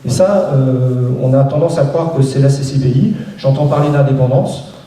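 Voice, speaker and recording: Guy talking politics at a campaign meeting, dark mellow voice, lots of echo, far from the mic, keeps restarting. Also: mid-range voice, moderate pace. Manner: hesitant, many restarts and fillers. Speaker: local election candidate. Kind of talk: campaign speech. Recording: echoey room, voice from far off.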